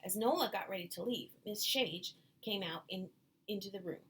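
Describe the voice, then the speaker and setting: A woman reads a picture book aloud for children at a medium pitch. She narrates the story and adds little asides for young listeners. There is faint noise in the background. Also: normal speed, fairly bright tone. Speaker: nurse reading aloud to children.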